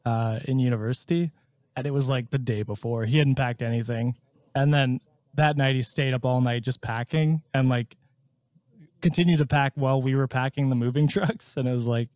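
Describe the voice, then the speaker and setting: Man, casual hedging chat, mellow warm tone, dry close mic. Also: medium pitch, normal speed, no room sound. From Canada. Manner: chatty and hedging, trails off. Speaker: podcast co-host.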